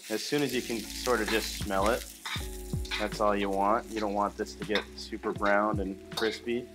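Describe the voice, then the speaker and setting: A young man talking viewers through a recipe in a small studio kitchen set, relaxed and chatty, with music playing underneath. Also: on the low side, moderate pace, rough recording. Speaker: cooking vlogger. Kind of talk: casual cooking demonstration. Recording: clear background music under voice.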